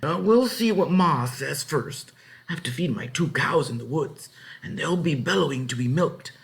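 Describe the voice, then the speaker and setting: A man's voice: on the high side, expressive, moderate pace, vocal fry, voice pushed up. A man voicing an easygoing middle-aged farmer and father in a storybook, with hesitant, rustic talk.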